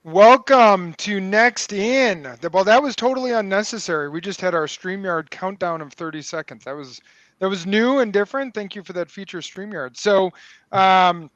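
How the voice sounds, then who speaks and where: Male host, high voice, upbeat and jokey on a dry-sounding video call. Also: moderate pace, a bit bright, no echo, highs cut off. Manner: upbeat and joking, many ums. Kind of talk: casual interview hosting.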